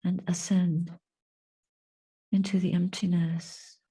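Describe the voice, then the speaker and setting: Female meditation teacher, lowish pitch, breathy and soft, contemplative phrasing with long pauses. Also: little pitch movement. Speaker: Buddhist nun and meditation teacher. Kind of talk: guided meditation and dhamma reflection.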